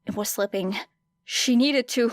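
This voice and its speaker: A woman reading an audiobook story dramatically, with deliberate, expressive phrasing and weighty pauses. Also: fairly high pitch, dry recording, clean studio sound. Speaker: audiobook narrator. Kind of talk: dramatic scripted fiction narration.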